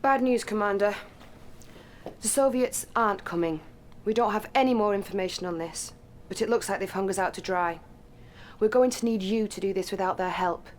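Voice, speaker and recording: An actress playing an earnest young military intelligence officer, briefing formally and urgently in a video game. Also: female voice, medium pitch, normal speed, slight background noise, natural voice. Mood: businesslike.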